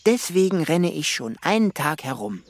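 Naive stubborn young sailor wannabe in a kids radio play, earnest, pitch jumping around a lot. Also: male voice, very animated, moderate pace. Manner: earnest, defiant, indignant. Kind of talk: children's radio play acting.

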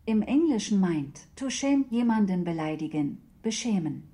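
Female actor as a smart-home assistant: mellow tone, neutral, measured, emotionless, precise radio-play answers. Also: medium pitch, lively intonation, close to an everyday voice. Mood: neutral, polite.

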